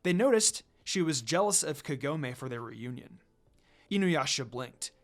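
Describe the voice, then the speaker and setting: An amateur story reader narrates dramatically. The delivery has a mid-range pitch that rises and falls widely. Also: expressive, measured pace.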